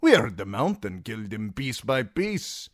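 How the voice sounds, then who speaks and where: A man's voice: lowish voice, sing-song, unhurried, no echo, studio quality, pitched above the performer's own voice. A man doing a sneering sellsword with a Dornish drawl. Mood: telling. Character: sly male sellsword with a drawl, mocking.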